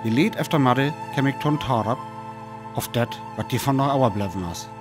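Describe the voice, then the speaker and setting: An actor with a North Frisian accent playing a weary lone survivor of an invasion in a radio play, telling it in sparse, dramatic phrases. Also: male voice, medium pitch, moderate pace, music in the background, lightly acted. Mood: weary, wary. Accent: North Frisian (Föhr).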